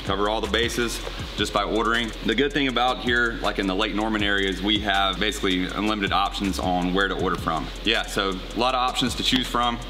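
A relaxed man in his thirties with a fairly low voice talks loosely about his routine, rambling with fillers. Music runs underneath him in the gym. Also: brisk. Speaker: fitness coach. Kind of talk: casual chat. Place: gym, indoors, at a counter.

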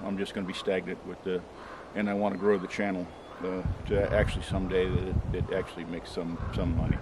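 Older man rambling outdoors, deep voice. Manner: rambling, halting with many fillers and restarts. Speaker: homestead channel host. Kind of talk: casual vlog talk to camera. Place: outdoors in a pine forest clearing. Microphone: camera mic from a few meters away. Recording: noisy outdoor camera audio.

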